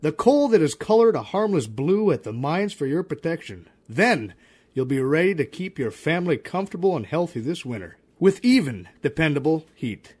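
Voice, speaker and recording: A man's voice: a little high, very animated, normal speed, darkish tone, breathy edge. A man as the announcer of a 1930s-style radio drama, giving a grand, emphatic sponsor read and introduction.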